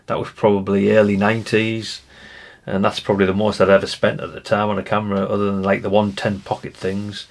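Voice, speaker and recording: Low, gravelly man reminiscing. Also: completely flat delivery, a little fast. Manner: rambling, chatty, musing aloud to the viewer. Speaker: retro collector presenting a video. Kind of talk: casual nostalgic commentary while browsing.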